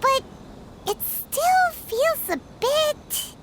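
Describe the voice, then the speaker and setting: A woman voicing a tiny, chatty, childlike fairy companion with a squeaky voice in a video game. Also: big pitch swings, very slow, very noisy, exaggerated voice. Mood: doubtful, exasperated. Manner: exclamatory, incredulous questioning. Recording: loud music under the voice.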